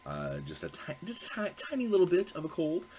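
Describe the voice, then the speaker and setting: A man's voice: fairly high pitch, wildly swooping pitch, moderate pace, a little mellow, slight background noise. A man hosting his own video show, talking casually while congested and sniffly from a cold, rambling and correcting himself with lots of fillers. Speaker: host. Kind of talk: casual solo video talk.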